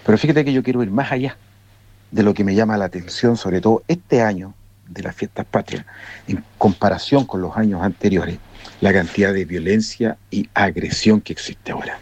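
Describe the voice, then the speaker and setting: A man's voice: lowish voice, moderate pace, a little mellow, breathy edge. A man with a Chilean accent giving his opinion informally to a show, in a colloquial, emphatic and repetitive way.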